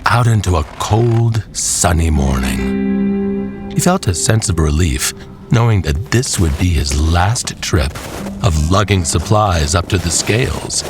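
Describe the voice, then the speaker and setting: A man narrating a scripted dramatic tale in a folksy, deliberate storyteller's manner, with music behind him. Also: deep voice, big pitch swings.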